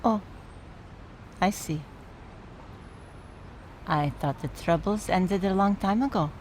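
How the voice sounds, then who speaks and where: A woman playing an earnest, slow-spoken mourner. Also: medium pitch, darkish tone, slight background noise, lightly acted. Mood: puzzled. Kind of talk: radio play dialogue.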